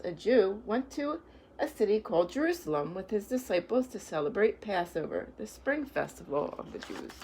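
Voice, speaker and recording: A woman reading picture books aloud to young children, pausing to explain things in simple, reassuring words. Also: medium pitch, husky, poor audio.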